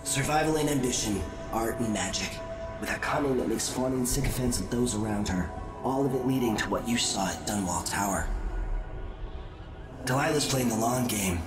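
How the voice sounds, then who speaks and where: An actor playing a sardonic supernatural being in a video game cutscene, with a measured, knowing, theatrical delivery. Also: male voice, medium pitch, natural voice. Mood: knowing, cryptic. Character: cryptic, sardonic male supernatural being, coolly taunting. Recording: echoing voice over game music.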